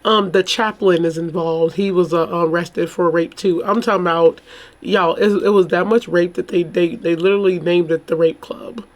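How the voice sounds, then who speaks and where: Female vlogger, slightly hoarse, casual and rambling, exclamatory commentary in an informal chatty style. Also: on the low side, fairly even intonation, normal speed. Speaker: YouTube true crime host.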